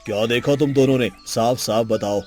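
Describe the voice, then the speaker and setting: A man playing a stern, commanding lion king in a children's animated story, speaking deliberately and formally. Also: mid-range voice, faint music behind, lightly acted.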